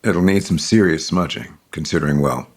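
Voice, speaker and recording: An actor playing a guarded but caring male ex-lover with gentle wryness and a husky voice, in an audio soap opera. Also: a bass voice, brisk. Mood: fond, wry. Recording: music bed under the dialogue.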